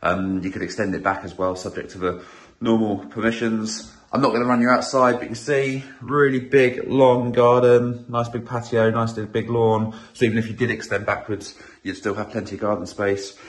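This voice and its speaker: A man giving a chatty, upbeat walk-through tour of a house as an estate agent, his voice a little croaky, filmed on a handheld phone indoors. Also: lowish voice, little pitch movement, moderate pace, hardly any pauses. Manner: chatty, upbeat, filler-heavy with many "um"s. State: slightly croaky voice. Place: inside a small house, walking room to room.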